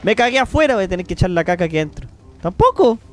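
Excitable high-voiced Chilean man. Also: very fast, soft background music. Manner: loud, excitable, swears freely. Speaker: streamer. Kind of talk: casual gaming livestream chat.

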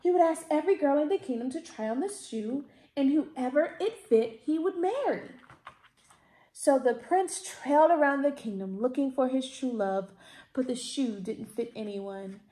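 A woman in her thirties reads a children's picture book aloud expressively, with deliberate, theatrical emphasis. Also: sits high, expressive, dark tone. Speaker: library storytime reader. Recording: roomy indoor echo.

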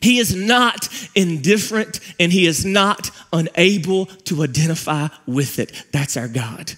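Emphatic preaching man, higher voice. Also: fairly bright tone. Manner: emphatic preaching with repeated phrases and pauses. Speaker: pastor. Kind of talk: sermon. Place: large church stage with audience.

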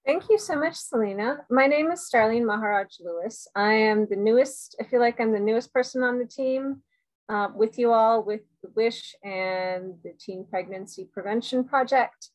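A woman's voice: a little high, normal speed, poor audio. A woman introducing herself as a new project manager, thoughtful and a little searching for words, over a video call. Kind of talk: casual self-introduction.

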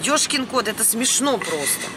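A woman's voice: a little high, lively intonation, normal speed. A woman, speaking indignantly and bluntly in an unscripted vlog rant, recorded inside a van. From Ukraine. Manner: indignant, emphatic, blunt, peppered with mild swearing. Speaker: vlogger. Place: inside a parked or moving van. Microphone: camera at about arm's length. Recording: noisy vehicle cabin.

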